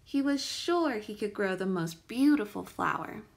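A woman reads a children's story aloud at an unhurried pace. Her mid-pitched voice has a noticeable fry, and she places her emphasis carefully, like a teacher with a picture book. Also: expressive.

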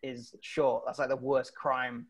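A British man in his thirties doing a halting, self-deprecating stand-up comedy set over a video call from home, on earphone mic. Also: medium pitch, flat delivery, husky. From Britain. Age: 30s. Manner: halting, self-deprecating, often chuckling at his own lines. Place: indoor room at home. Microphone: wired earphones on a video call.

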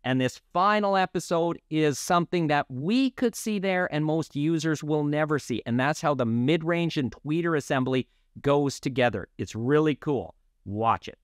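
A man's voice: on the high side, moderate pace, smooth, dry recording, studio quality. A man in his fifties hosting and interviewing in a presenter's conversational style. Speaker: host and interviewer.

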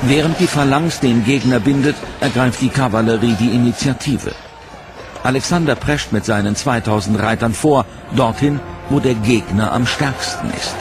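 A man narrating a history documentary from a script, in a measured, dramatic way. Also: medium pitch, music in the background.

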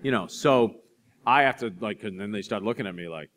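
A man in his forties telling animated, self-deprecating stories to an audience on a library stage. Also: lowish voice, brisk. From Boston area. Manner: animated storyteller, acts out voices in anecdotes, self-deprecating. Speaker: author speaking to an audience. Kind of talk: storytelling talk and audience Q&A. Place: library stage in front of a curtain.

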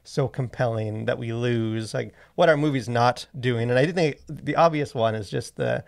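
Man, thirties, podcast co-host, casual discussion, halting and wordy, medium-pitched, creaky. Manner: halting, wordy, thinking aloud with frequent rephrasing. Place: small indoor podcast studio. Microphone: close boom-arm studio microphone.

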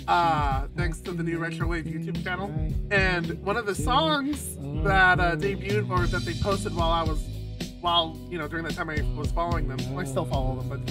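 A streamer chats casually in a mid-range voice over loud guitar music. The delivery is emotional and unguarded, with free swearing. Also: medium pitch. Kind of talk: casual livestream chat. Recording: music under the voice.

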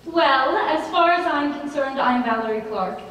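A woman plays a sassy young small-town dancer at a stage audition. The voice is high, far off and washed in long reverb. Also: moderate pace, fairly bright tone. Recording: echoey theatre, distant, faint noise.

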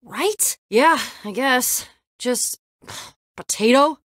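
A woman playing a guarded, sensitive young woman adventurer in a video game, with halting, emotional, conversational delivery. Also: sits high, animated, a bit bright, no room sound, studio quality, heavily acted. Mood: incredulous, flustered.

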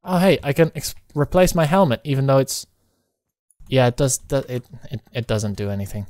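A man's voice: mid-range voice, animated, a little fast, a little mellow, no room sound. A man giving casual gaming commentary to a co-player, often chuckling as he talks. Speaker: video game player. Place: indoor voice-over close on mic during gameplay.

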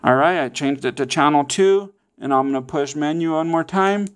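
A man's voice: a little high, normal speed, background noise. A man presenting a casual step-by-step how-to, often checking in with okay and all right. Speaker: tutorial presenter.

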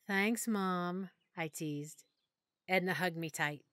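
A woman narrating a first-person audiobook story in a measured, emotional way that sometimes drops to a hush. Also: lowish voice, no room sound, studio quality. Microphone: close studio mic.

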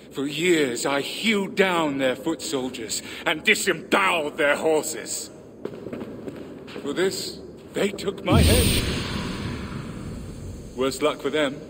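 An actor playing the bitter, vengeful ghost of a betrayed ancient British warrior in a video game, speaking theatrically. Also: male voice, fairly high pitch, animated. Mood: grimly proud. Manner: theatrical, bitter, drawn-out delivery. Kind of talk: dramatic video game monologue. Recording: long cavernous reverb, clear ambient noise.

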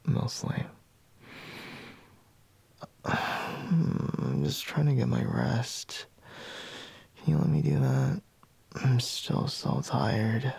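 A man playing a sleepy, grumbling boyfriend in an intimate ASMR roleplay, murmuring in a breathy, husky half-whisper close to the microphone. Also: medium pitch, darkish tone, dry recording, exaggerated voice. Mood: sulky, tired. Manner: drowsy, drawling, murmuring with sighs. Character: sleepy, grumbling, teasing young boyfriend woken in bed. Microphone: close microphone, dry.

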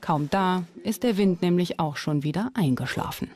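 A woman's voice: fairly low pitch, normal speed, a little mellow. A woman reading scripted documentary narration as the narrator. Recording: music behind the voice. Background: background music.

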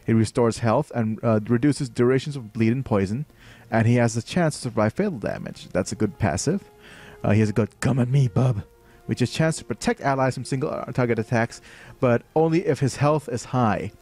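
A man's voice: medium pitch, brisk, darkish tone, faint music behind. A young man from Thailand with a light Thai accent, streaming and explaining a game with many fillers and restarts. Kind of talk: live game commentary.

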